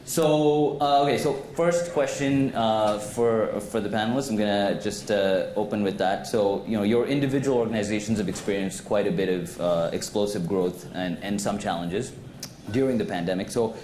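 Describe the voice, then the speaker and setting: A man's voice: on the low side, moderate pace, darkish tone. A man moderating a conference panel in a casual, loose way with many restarts. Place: conference hall stage. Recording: echoey hall, faint noise.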